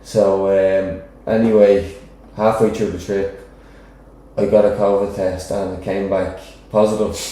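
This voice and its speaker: A young Dublin man talks in a loose, rambling way and keeps checking in with the listener. His voice sits fairly low and sounds dark and mellow. It is recorded in a very echoey room. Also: steady tone, a little slow. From Ireland. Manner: rambling, frequent "do you know what I mean". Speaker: professional boxer, guest. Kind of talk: casual interview chat.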